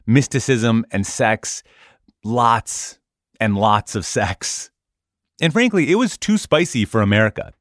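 A man hosting and narrating a podcast, reading a script with playful, expressive emphasis. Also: medium pitch, dry recording, clean studio sound.

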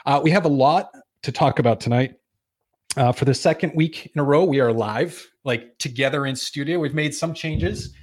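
A man's voice: on the high side, moderate pace, no room sound. A man hosting a casual live show, rambling and joking about himself. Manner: joking, rambling, self-deprecating. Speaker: host. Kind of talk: casual livestream chat. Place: small studio.

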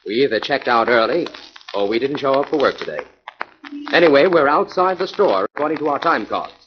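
An actor playing a calm, confident crime investigator in a 1940s radio drama, speaking in a measured, authoritative way. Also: male voice, mid-range voice, close to an everyday voice. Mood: confident, instructing. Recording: muffled, noisy 1940s radio recording.

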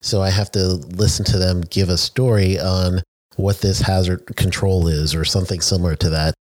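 Chatty man with a low voice, dry sound. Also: steady tone, moderate pace, no room sound. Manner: friendly, chatty, laughs easily. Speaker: interviewer, safety trainer. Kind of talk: conversational interview.

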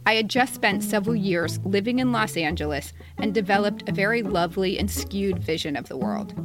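A woman's voice: mid-range voice, fairly quick, over music. A woman in her fifties reading a personal satirical letter aloud in a wry, mock-polite way, as a podcast co-host. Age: 50s.

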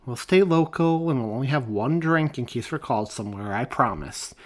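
Laid-back male cop partner, read by a man, husky, exasperated, coaxing. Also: mid-range voice, lively intonation, fairly quick, natural voice. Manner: loud, exasperated then coaxing.

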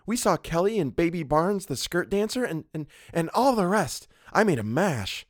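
A man's voice: a little high, sing-song, normal speed, no room sound, studio quality, pitched above the performer's own voice. A man voicing a merry young woman, an artist's model in a story, chattering in a breezy, gossipy way. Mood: merry, chatty.

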